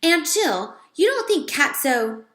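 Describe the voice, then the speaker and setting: Excited little girl camper, high and loud, voiced by a woman reader, roomy echo. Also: slow, a little hiss or hum, exaggerated voice. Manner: shrill, excited calling.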